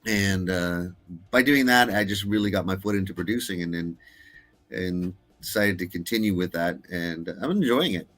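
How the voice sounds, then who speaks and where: A middle-aged man talking casually as an interview guest, pausing often with fillers and restarts. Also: low voice, a bit bright. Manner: hesitant, with many uh fillers and restarts. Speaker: guest filmmaker.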